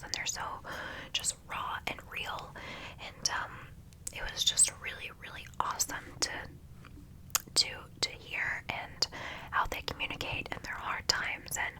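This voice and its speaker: A young woman chatting in a soft whisper for an ASMR video, gushing with lots of ums, her voice stuffy and nasal from congestion. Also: normal speed, low-quality recording. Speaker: ASMR creator.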